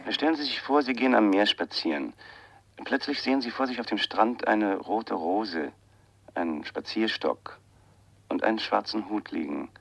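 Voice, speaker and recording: A man's voice: lowish voice, animated, moderate pace, vocal fry, no echo. An actor playing a mysterious, insistent man on the phone in a radio crime drama, cryptic and self-assured. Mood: cryptic, probing. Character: mysterious, insistent man phoning a writer, afraid for his life. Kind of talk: 1980 German radio crime drama acting. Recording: dull, lo-fi radio play sound.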